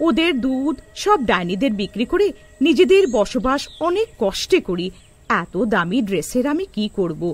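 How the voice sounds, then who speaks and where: A woman voicing an honest, humble witch mother from a poor family in a cartoon story, speaking quickly and deferentially. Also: medium pitch, voice pushed down. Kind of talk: children's cartoon story acting.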